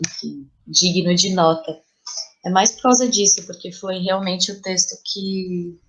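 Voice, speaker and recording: A woman's voice: medium pitch, poor audio. A woman with a Brazilian accent speaking reflectively as an interview guest about creative work, thoughtful and searching for words as she goes.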